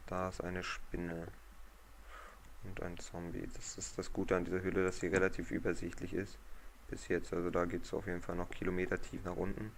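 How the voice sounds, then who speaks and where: A hoarse man with a cold talks through his game in a low, gravelly voice that stays flat and even. He rambles and thinks out loud. A steady hiss of noise sits under the recording. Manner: relaxed, rambling, thinks aloud. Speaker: gaming channel host. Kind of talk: casual let's-play commentary. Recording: noisy home mic recording.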